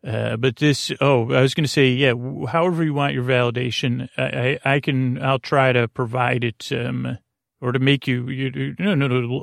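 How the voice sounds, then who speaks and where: A man hosting a sleep podcast with a creaky voice, giving a gentle, soothing, whimsical monologue that meanders through hesitations, fillers and tangents. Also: medium pitch, no room sound, clean studio sound. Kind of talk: rambling bedtime monologue.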